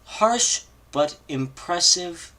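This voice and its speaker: A man reading a horror story aloud informally on a live stream, halting and stumbling over long words. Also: high-pitched, lively intonation, bright, forceful tone. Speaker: amateur reader on a live read-along stream.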